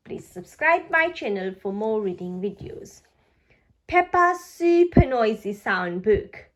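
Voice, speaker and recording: A woman reading a children's storybook aloud in an expressive, deliberate way for young listeners. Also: medium pitch. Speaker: storybook reader.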